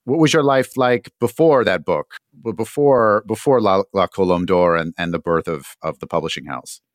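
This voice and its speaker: A male interviewer with a low voice, recorded dry. He keeps things casual, feeling his way through long questions and restarting as he goes. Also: moderate pace, no echo. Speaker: interviewer, podcast host.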